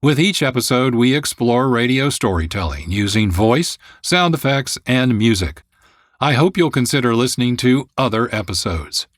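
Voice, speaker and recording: A man reading scripted narration as a radio host in a measured, formal manner. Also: mid-range voice, expressive, dry recording, studio quality. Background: faint music.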